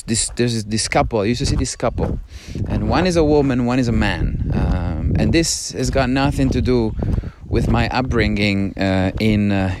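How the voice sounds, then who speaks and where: A man from Italy with an Italian accent, talking as he walks down a mountain trail, halting and musing, sometimes dropping to a hushed, awed voice. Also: medium pitch, slight background noise. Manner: musing, halting, drifts between hushed awe and excited chatter. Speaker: podcast host. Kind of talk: casual walking monologue.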